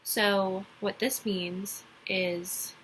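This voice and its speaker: A woman's voice: mid-range voice, little pitch movement, extremely slow, fairly bright tone, slight background noise, low-quality recording. A young woman from Dallas with a light Texas accent, giving a casual class presentation and rambling through it with lots of ums and restarts. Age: young adult. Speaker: college student.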